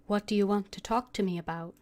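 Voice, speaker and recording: A woman's voice: medium pitch, steady tone, fast, darkish tone. A woman streaming a video game, casually commenting and reading dialogue choices aloud.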